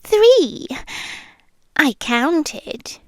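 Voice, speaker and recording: A British woman playing a possessive yandere girlfriend who lives in the listener's head, speaking in an intimate, breathy ASMR style with teasing pauses and frequent drops into whispers. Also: high-pitched, sing-song, dry recording, exaggerated voice. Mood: smug, coaxing. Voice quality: breathy, often dropping into whispers. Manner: slow, teasing, coy, with drawn-out pauses. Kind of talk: ASMR roleplay, intimate close address. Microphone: close, dry microphone.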